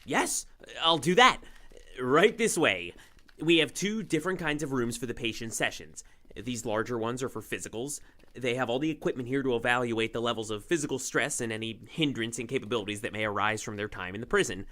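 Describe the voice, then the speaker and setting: A man's voice: medium pitch, fairly quick, sharp tone, lightly acted. A man playing an awkward, eager, bumbling young lab assistant in an audio drama, stammering and correcting himself. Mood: helpful, flustered. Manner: flustered, stammering, self-correcting.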